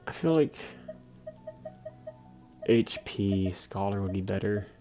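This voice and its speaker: A man gives casual solo gameplay commentary in a dark, relaxed voice with noticeable fry. He muses aloud as if to himself, while game music plays faintly behind him. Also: fairly low pitch, slow pace. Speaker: video game livestreamer. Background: faint video game music.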